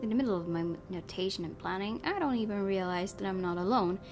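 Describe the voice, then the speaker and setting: Female let's play host, mid-pitched, narrating game text, casual asides, clear background music. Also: quick pace. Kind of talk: reading a horror visual novel aloud with casual commentary.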